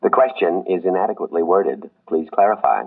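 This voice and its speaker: A man playing an emotionless giant electronic computer in a 1950s radio drama, speaking in flat, clipped, impersonal phrases. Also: sits low, brisk, husky. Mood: impassive. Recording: muffled narrow-band 1950s radio broadcast.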